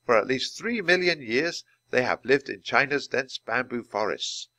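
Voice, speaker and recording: A man voicing a poised young woman scientist who speaks in a formal, measured, instructive way. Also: mid-range voice, lively intonation, bright, forceful tone, no room sound, voice pushed up.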